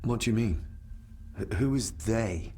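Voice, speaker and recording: An actor playing a polite, inquisitive and guarded young gentleman heir in a video game. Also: on the low side, unhurried, slight background noise. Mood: uneasy. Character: reserved, questioning young gentleman heir of 1920s.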